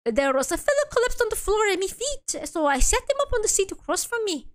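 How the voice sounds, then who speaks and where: A chatty old Irish coachman rambles through his testimony, as a woman puts him on. The Irish accent is a put-on one, folksy and lilting, pitched high with lively swings. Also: brisk. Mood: storytelling. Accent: attempted Irish.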